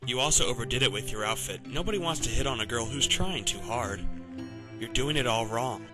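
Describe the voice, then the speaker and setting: A man playing an aloof, blunt teenage boy with a curt, deadpan delivery in an amateur anime-style fandub. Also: mid-range voice, brisk, over music, close to an everyday voice. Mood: curt, cool.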